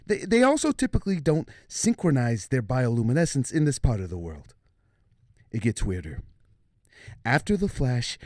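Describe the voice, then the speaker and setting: A man playing a rattled, nerdy researcher of mysterious creatures, halting and stammering, in an audio drama field transmission. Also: medium pitch, a little mellow, dry recording, studio quality. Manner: halting, stammering, nervous chuckles.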